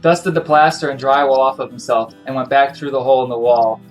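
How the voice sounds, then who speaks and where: Male game master narrating a horror role-play, mid-pitched, over quiet guitar. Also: a little fast. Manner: vivid storytelling narration.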